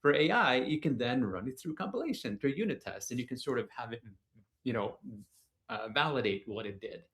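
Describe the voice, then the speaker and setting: A man who grew up in Norway, a guest on a tech podcast, explaining things in a relaxed way with many fillers. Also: medium pitch, a little fast. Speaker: guest engineer. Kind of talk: casual tech podcast chat.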